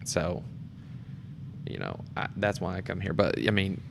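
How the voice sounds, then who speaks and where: A man's voice: sits low, fairly even intonation, a little slow, gravelly, slight background noise. A man talking casually as a guest in an informal conversation. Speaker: community theatre performer, podcast guest. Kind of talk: casual chat.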